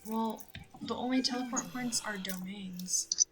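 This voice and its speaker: A woman's voice: medium pitch, measured pace, husky. A young woman chatting casually with a gaming partner, hesitant at times and playful. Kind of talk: casual gameplay chat. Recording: faint background noise.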